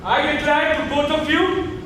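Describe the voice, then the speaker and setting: An actor playing a pious, proud Mughal emperor, a wounded younger brother, solemn and declamatory in a stage play. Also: male voice, very high voice, fairly even intonation, unhurried, fairly bright tone, slight background noise. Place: indoor theatre stage. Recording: echoey stage hall, distant mic.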